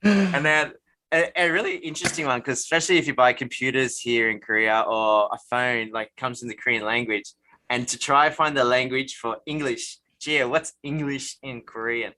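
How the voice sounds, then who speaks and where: A man's voice: medium pitch, normal speed, pushed and bright, poor audio. A young man from Australia with an Australian accent, hosting a podcast in easygoing casual chat and leading the conversation. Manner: easygoing, leads the talk. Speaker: podcast host.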